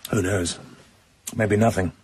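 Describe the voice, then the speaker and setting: A man's voice: on the low side, fairly even intonation, a little slow, a little mellow, a little hiss or hum, lightly acted. An actor with a British accent playing a cool, wry male secret agent in a radio drama, speaking in a dry, clipped way.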